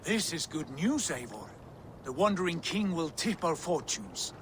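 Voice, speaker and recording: High-voiced, proud Viking prince. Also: male voice, big pitch swings, measured pace. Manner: grand, declamatory. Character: proud, bold Viking prince, rousing war leader. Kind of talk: video game cutscene acting. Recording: clear water and outdoor ambience noise.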